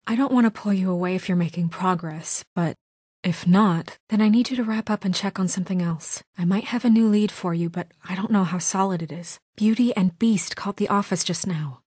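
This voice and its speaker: A woman's voice: medium pitch, a little mellow, dry recording, lightly acted. A woman playing a stern, dry-sounding young leader. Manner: earnest, measured, formal and insistent. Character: stern, principled young woman leader, weary but composed. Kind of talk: dramatic video game voice acting.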